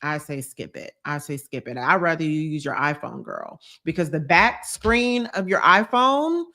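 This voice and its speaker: A woman's voice: deep voice, lively intonation, moderate pace, bright, forceful tone. A young woman from New Jersey, a YouTube creator, chatting on a live stream and reading comments, emphatic and repeating her advice. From United States, New Jersey.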